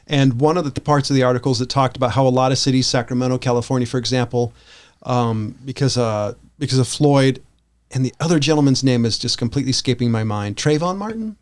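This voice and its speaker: A man's voice: mid-range voice, clear, resonant tone. A man co-hosting a podcast in casual chat, rambling along in an informal, enthusiastic way. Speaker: podcast co-host.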